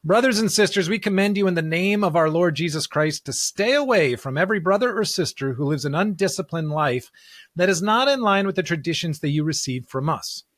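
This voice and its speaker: A man's voice: fairly high pitch, a bit bright, dry recording, clean studio sound. A man hosting a Bible podcast, explaining and reading scripture in an earnest, emphatic way. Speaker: podcast host discussing scripture.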